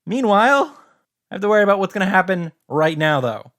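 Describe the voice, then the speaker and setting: A man's voice: high voice, sing-song, brisk, fairly bright tone. A man giving theatrical reaction commentary on a story.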